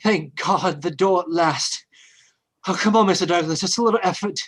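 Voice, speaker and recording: Guy acting a rescuer, raspy, straining, bossy urgent, old radio horror. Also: high voice, normal speed, fairly bright tone. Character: urgent, protective male rescuer.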